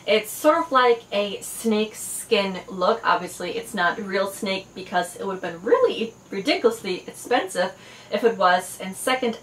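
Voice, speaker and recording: A woman's voice: mid-range voice, fairly bright tone. A young woman whose first language is Farsi, chatting eagerly through a fashion haul with many fillers. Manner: enthusiastic, chatty, frequent fillers. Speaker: fashion and beauty vlogger. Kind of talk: casual haul video. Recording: echoey room, faint background noise.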